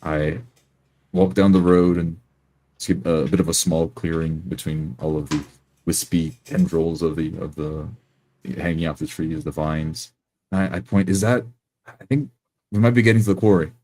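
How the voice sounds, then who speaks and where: Very deep-voiced man improvising roleplay. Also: fairly even intonation, normal speed, a little mellow. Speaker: roleplay game player. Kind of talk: improvised first-person roleplay.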